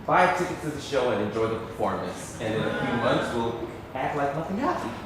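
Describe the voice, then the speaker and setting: A man's voice: mid-range voice, very animated, a little fast, natural voice. A man acting in a stage play as a wry, persuasive, scheming grown son of a wealthy family. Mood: wry, conspiratorial. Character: scheming, pragmatic adult son of wealthy businessman. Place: theatre stage. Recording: echoey theatre, distant mic, noisy.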